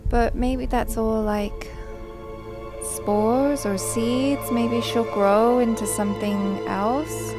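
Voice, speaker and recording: A woman's voice: on the high side, fairly even intonation, slow. A young woman giving casual reaction commentary on a video game as a show host. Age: young adult. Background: game music and effects.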